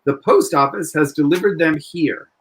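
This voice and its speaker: A man plays a persistent young-sounding defense attorney in an old-time radio drama. His voice sits fairly high, and he argues with earnest courtroom formality. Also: normal speed, fairly bright tone, close to an everyday voice. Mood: triumphant. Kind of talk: 1940s-style live radio play acting.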